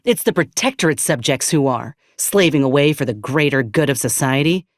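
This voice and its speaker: An actress playing a tough, wry frontier combat medic in a video game, with a folksy, slangy, sardonic way of talking. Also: female voice, a bass voice, animated, moderate pace, no room sound, studio quality. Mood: dry, sardonic. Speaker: video game companion character. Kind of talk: game voice acting, combat barks and dialogue.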